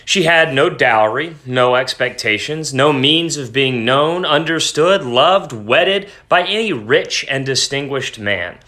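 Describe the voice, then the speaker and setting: A man with a bright, forward voice and a medium pitch. He talks like a teacher leading an informal lesson, explaining things plainly and reading literature aloud with some drama. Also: animated, slow pace, few pauses. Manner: explanatory, breaks off reading to paraphrase in plain words. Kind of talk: informal lesson talk and dramatic read-aloud of a short story.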